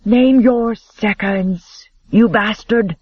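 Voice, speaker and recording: A man doing a raised, sneering young nobleman, quick to rage and spitting his words. The voice sits high and proud, on a dull, muffled recording. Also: sing-song, no room sound, exaggerated voice. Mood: furious. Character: arrogant hot-tempered young male lord. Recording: dull lo-fi audiobook audio.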